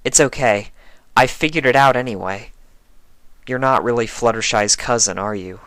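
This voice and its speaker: Guy doing sincere gentle pony girl, voice bumped up higher, chatty story character. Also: normal speed, dry recording, lightly acted. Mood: gentle, probing. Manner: earnest, conversational. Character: earnest, gentle young female pony.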